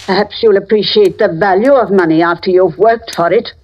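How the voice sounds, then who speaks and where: An actress playing a scolding, suspicious old spinster in an old radio drama. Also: female voice, medium pitch, fairly quick, darkish tone, lightly acted. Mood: stern, suspicious. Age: older. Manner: scolding, fretful. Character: cranky, suspicious old spinster aunt, rich and stern. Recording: dull, lo-fi old radio recording.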